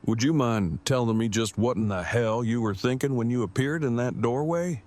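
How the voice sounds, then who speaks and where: A man acts out an earnest survivor in an audio drama. He speaks in stilted, formal, long-winded phrases at a medium male pitch. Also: normal speed, a little mellow, lightly acted. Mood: upset, earnest.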